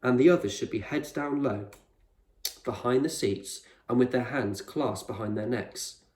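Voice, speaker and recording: A man's voice: mid-range voice, measured pace, darkish tone, close to an everyday voice. Man reading as firm eccentric explorer, echoey room. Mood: firm, instructive. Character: eccentric grown male explorer, firm, proud, reassuring mentor. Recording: roomy echo, distant microphone.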